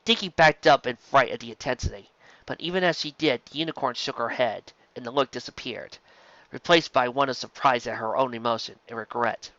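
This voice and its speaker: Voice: medium pitch, fairly quick, a bit bright, no room sound, highs cut off. A narrator reading a story aloud from a script. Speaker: audiobook reader of fan fiction.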